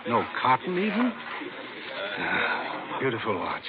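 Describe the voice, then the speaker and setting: A man's voice: medium pitch, huge pitch swings, slow pace. An actor playing a genial, talkative veteran policeman in a radio drama, chatting wryly. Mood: wry, friendly. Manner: wry, chatty. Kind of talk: 1950s radio drama, casual banter. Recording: tinny old radio recording, crowd behind.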